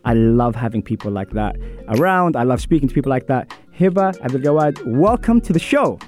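A man hosting a radio sports show, his voice hoarse, with an upbeat, hyped-up presenting manner. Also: medium pitch, expressive, a little fast, darkish tone, soft background music.

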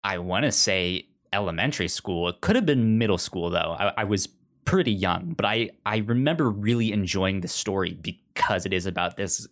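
A man's voice: sits low, normal speed, fairly bright tone. A man co-hosting a podcast, chatting casually in an easygoing, slightly hesitant way. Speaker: podcast co-host. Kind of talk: casual chat.

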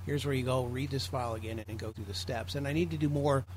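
A man's voice: medium pitch, fairly even intonation, fast talker, darkish tone. An American man giving an informal, rambling explanatory talk to a group as a presenter in an online meeting. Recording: clearly noisy online meeting audio.

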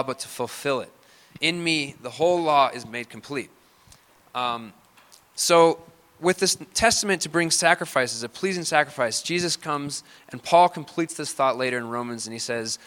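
A man's voice: mid-range voice, sharp tone. A man preaching a sermon in an earnest, conversational way, as if thinking aloud.

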